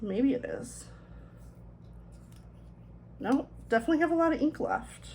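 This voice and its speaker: A woman's voice: high voice, animated, unhurried, warm tone, slight background noise. A woman chatting casually as she decorates a planner, her voice a little thick from swollen tonsils, rambling in self-deprecating asides. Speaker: planner vlogger.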